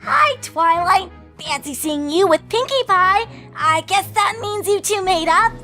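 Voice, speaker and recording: A woman's voice: very high voice, lively intonation, a little slow, pushed and bright, faint music behind, voice pushed up, exaggerated voice. A woman voicing a cheeky young pegasus filly who acts innocent, chirpy and sweet.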